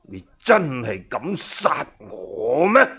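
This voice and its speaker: A man voicing a righteous, defiant general in his seventies, furious and scornful, with drawn-out declamatory delivery in a storytelling drama. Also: a little high, very animated, fairly bright tone, breathy edge, voice pushed down, full character voice. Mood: proud defiance.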